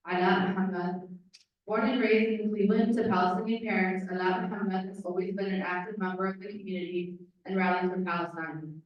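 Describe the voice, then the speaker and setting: A woman moderating a community event, introducing the next speaker in a calm, formal way. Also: lowish voice, highs cut off. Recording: echoey hall, distant mic.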